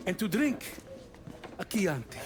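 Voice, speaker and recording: Effusive Italian restaurateur, very animated. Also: male voice, high-pitched, unhurried, over music. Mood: welcoming, eager. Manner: gushing, eager to please. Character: effusive, deferential Italian male restaurant owner. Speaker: game character actor. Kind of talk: cinematic crime drama acting.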